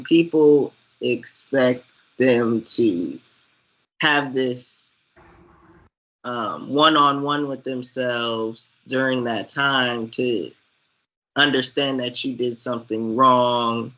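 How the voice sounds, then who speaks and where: Man, bright forceful voice, slow deliberate pace, earnest, opinionated, conversational commentary. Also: medium pitch, low-quality recording. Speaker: podcast co-host discussing films.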